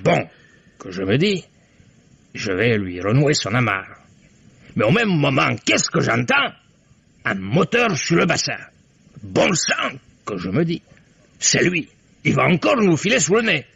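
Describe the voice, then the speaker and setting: An actor playing a talkative middle-aged customs officer in a 1950s radio drama, excitable and rambling. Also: male voice, on the high side, unhurried, creaky, long pauses, slightly rough, dry recording, exaggerated voice. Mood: excited recounting. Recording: muffled old radio recording.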